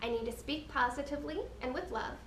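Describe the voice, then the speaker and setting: A woman giving a scripted slide presentation, explaining each point in a deliberate, earnest way. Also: sits high. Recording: roomy, distant mic with background noise.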